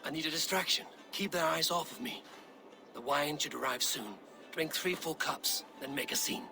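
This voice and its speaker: An actor playing a stern, commanding Viking warrior in a video game, speaking in measured, decisive, terse phrases. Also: male voice, fairly high pitch, gravelly. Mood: urgent, commanding. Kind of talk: cinematic video game acting.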